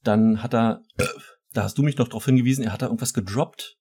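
A man's voice: mid-range voice, fast, dark tone, slightly rough. A man co-hosting a podcast, chatting in an informal, conversational way. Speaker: podcast co-host. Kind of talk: casual chat.

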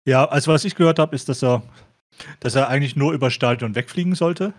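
A man co-hosting a casual talk, speaking haltingly and often repeating words as he searches for phrasing. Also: mid-range voice. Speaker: podcast co-host. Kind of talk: casual chat.

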